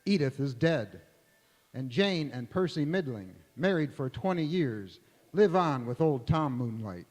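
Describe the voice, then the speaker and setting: A man's voice: mid-range voice, animated, a little slow, darkish tone. A man playing a theatrical old-time Hollywood radio host narrating a drama. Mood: solemn, dramatic. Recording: echoey hall, long reverb.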